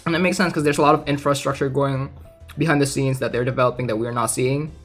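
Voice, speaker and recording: A man's voice: mid-range voice, fairly even intonation, fast talker, fairly bright tone. A man casually reading aloud and commenting in an informal, chatty way, like a gaming content creator. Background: background music.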